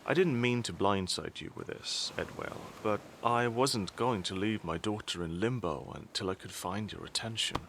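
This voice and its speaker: Audio drama casting: male actor as deep-voiced, dryly unbothered father and statesman, measured and formal, background noise. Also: gravelly, fairly bright tone. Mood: measured, matter-of-fact. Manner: measured, formal, dry wit.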